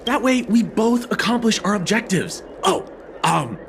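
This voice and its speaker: An actor playing a jittery, cheeky young male guard in a video game, talking informally in an excitable, wheedling way. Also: high voice, a little slow, creaky, slight background noise, heavily acted. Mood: nervous, scheming. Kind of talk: scripted video game cutscene acting.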